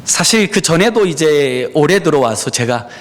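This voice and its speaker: A middle-aged male pastor gives a Sunday sermon in his church. He speaks to the congregation in an earnest, personal way and often pauses on "uh". His pitch moves up and down a lot as he talks. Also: expressive. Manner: frequent "에" fillers, personal and earnest, addresses congregation. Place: church sanctuary.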